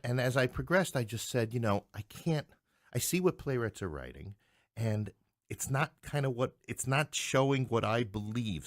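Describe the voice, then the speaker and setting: Middle-aged man from Queens, lowish pitch, dry sound, chatty interview guest who digresses and jokes wryly. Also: dry recording. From Queens, New York City. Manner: talkative, digressive, wryly joking. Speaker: interview guest, playwright and English professor. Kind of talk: anecdotal interview conversation.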